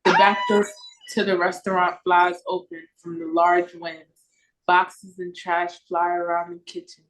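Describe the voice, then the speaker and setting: A woman's voice: fairly low pitch, flat delivery, unhurried, fairly bright tone, a little hiss or hum, rough recording. A woman reading scripted stage directions for an audio play over a video call.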